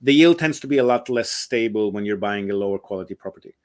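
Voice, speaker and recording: A man's voice: fairly low pitch, lively intonation, fast talker, darkish tone. A man, a real estate investment advisor, answers interview questions at length, explaining step by step with frequent ums and uhs.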